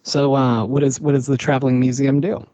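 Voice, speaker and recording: A man's voice: medium pitch, flat delivery, brisk, warm tone, dry recording, highs cut off. A man co-hosting a podcast, reading ads and teasing his guests in a playful way. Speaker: podcast co-host. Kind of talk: casual podcast chat and ad reads.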